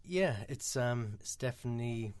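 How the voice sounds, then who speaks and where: A man with an Irish accent, talking quickly and chattily as a radio guest, with many filler sounds. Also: mid-range voice, lively intonation, a little mellow, smooth. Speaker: guest from a mental health charity. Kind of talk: radio interview answers.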